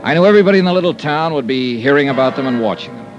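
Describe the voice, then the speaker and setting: A man's voice: medium pitch, expressive, racing, muffled recording, over music, natural voice. An American man playing a tough, commanding investigator in an old-time radio drama, with clipped, hard-boiled delivery. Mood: terse narration. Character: tough, commanding insurance investigator, brisk and determined. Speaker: lead actor and narrator of a detective radio serial.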